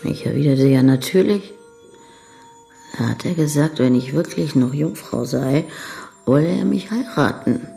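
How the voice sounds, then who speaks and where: An actress playing a frank, cheeky young bride-to-be in a radio crime drama, telling things candidly and languidly. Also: female voice, sits low, expressive, unhurried, vocal fry, warm tone, soft background music, heavily acted. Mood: matter-of-fact, confiding.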